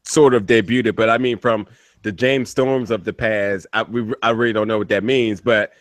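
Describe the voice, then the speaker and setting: A man co-hosting a podcast, chiming in with quick asides in casual chat. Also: fairly low pitch, steady tone. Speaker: podcast co-host.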